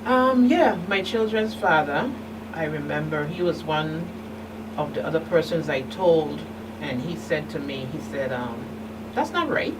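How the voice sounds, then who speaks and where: Low-voiced woman, candid interview guest, noisy distant mic. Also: animated, unhurried. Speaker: guest, survivor and advocate. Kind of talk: personal testimony in a talk show interview.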